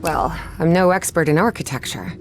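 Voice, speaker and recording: A woman's voice: sits low, moderate pace, noisy background, close to an everyday voice. An actress playing an eager, curious young woman explorer in a video game.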